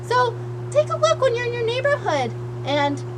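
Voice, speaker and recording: A woman voicing a gentle, reassuring female duck in a children's puppet show, explaining things patiently and simply. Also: very high voice, big pitch swings, normal speed, a loud crowd. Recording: noisy amateur puppet show recording.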